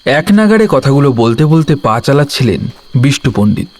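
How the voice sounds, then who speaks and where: A man narrating a scripted horror story with dramatic, measured phrasing and deliberate pauses. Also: mid-range voice, sing-song. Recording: music bed under the voice. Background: music.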